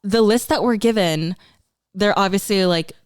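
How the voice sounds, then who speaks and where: A woman's voice: mid-range voice, normal speed, smooth, no echo, clean studio sound. A young woman whose first language is Tagalog, casually telling a story in a podcast chat and acting out what people said. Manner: animated, acts out quoted lines in her own voice. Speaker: podcast guest.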